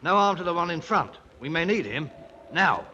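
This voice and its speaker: A man's voice: on the high side, lively intonation, normal speed, bright, forceful tone, highs cut off, full character voice. A man in a 1950s radio drama playing a bold, ambitious British ex-soldier who makes himself king, commanding and boastful in colloquial speech. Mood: brisk, commanding.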